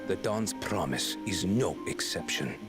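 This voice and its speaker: A man's voice: deep voice, expressive, a little slow. A man playing a cold, proud warrior prince in a video game, terse and solemn. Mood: cold, grim. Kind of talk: video game cutscene acting. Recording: game music under the voice.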